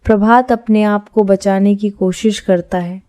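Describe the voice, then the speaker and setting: Smooth, mellow woman narrating. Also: mid-range voice, fairly even intonation, unhurried, no echo. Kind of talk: scripted horror story narration.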